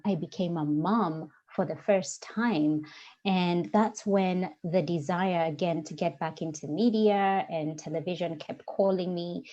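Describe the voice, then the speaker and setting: A woman's voice: on the low side, dark tone. A woman in her forties from Kenya, with a Kenyan accent, talking as a guest in a casual interview. She speaks reflectively and searches for her words, with frequent ums. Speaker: guest, diversity coach. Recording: dull lo-fi audio.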